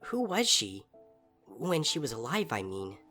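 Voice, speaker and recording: Man doing a young storybook pony girl, anxious, hurting, pitched way up, music behind. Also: big pitch swings, unhurried, sharp tone. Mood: questioning. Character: anxious injured young female pony student. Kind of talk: character voice in fan fiction reading.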